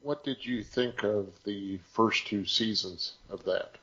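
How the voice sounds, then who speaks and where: A muffled, lo-fi recording of a man with a fairly low voice. He talks very slowly and casually as a podcast co-host. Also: fairly bright tone. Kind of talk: casual chat. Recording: dull, lo-fi sound.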